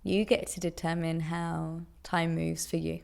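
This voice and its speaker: A woman leading a guided meditation with gentle, deliberate instruction in long, unhurried phrases. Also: deep voice, flat delivery, vocal fry.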